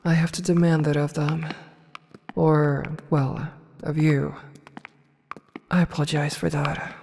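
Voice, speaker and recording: Woman playing a low-voiced imperious evil empress. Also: flat delivery, vocal fry, a little mellow, full character voice. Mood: candid, approving. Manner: haughty, formal, measured wording. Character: imperious evil empress, adult woman, softening toward her advisor. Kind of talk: ASMR fantasy audio roleplay, intimate scripted acting. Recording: long reverberant hall, faint noise.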